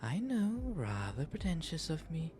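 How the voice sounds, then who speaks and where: A man playing a genteel, shy, flirtatious owl demon nobleman in an ASMR roleplay, with soft, breathy, formal and wryly self-deprecating speech. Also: fairly high pitch, big pitch swings, measured pace, crowd noise. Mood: courteous, wry. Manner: refined formal diction, polite, wry, self-deprecating. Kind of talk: ASMR roleplay, intimate audio drama. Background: music throughout.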